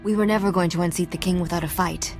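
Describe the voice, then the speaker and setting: A woman's voice: fairly low pitch, fairly even intonation, fast talker, a little mellow, faint music behind, close to an everyday voice. An actress playing a sly, sarcastic young woman smuggler and royal heir in a video game, wry, teasing and self-assured. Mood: serious, wry. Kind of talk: scripted video game dialogue.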